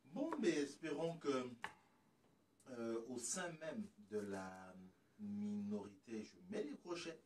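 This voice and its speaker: Distant, noisy-sounding man hosting haltingly. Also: medium pitch, expressive, a little mellow. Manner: halting, circles around his point. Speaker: host. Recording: distant mic with noise.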